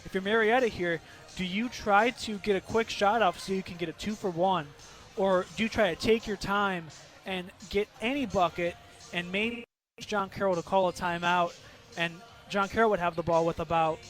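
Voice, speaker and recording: A man's voice: high-pitched, moderate pace, fairly bright tone, soft background music. A man working as a color commentator on live radio, talking through game strategy in a conversational way. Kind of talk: live radio sports analysis.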